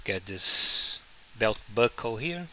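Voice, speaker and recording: A man whose first language is Portuguese, chatting casually on a live stream while he draws, halting and restarting often. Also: lowish voice, expressive, bright, forceful tone. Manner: halting, many restarts and fillers. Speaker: comic artist streaming his drawing.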